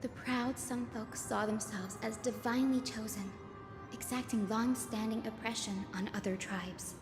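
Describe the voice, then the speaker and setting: High-voiced, poised fantasy priestess played by a woman, echoing. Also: fairly even intonation, moderate pace, close to an everyday voice. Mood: pensive. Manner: composed, formal, articulate. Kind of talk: scripted video game voice acting. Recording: echoey reverberant hall, music behind.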